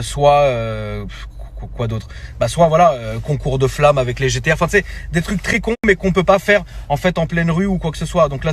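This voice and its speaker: Man talking into a phone, rambling casual chat, slangy, faint road noise behind. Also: mid-range voice. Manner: relaxed, rambling, slangy, many fillers and restarts. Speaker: social media creator. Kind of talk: casual phone story announcement.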